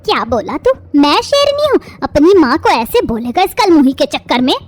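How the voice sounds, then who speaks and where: A woman voicing a harsh middle-aged mother-in-law in an animated family drama, hurling shrill insults. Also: brisk, faint music behind. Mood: furious. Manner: shrill, insulting, shouting tirades.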